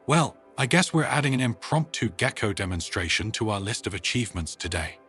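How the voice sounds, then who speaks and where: A man's voice: fairly low pitch, lively intonation, a little fast, fairly bright tone, faint music behind. A man voicing a wry, practical woman who leads a space team, in a conversational way, for an audio story.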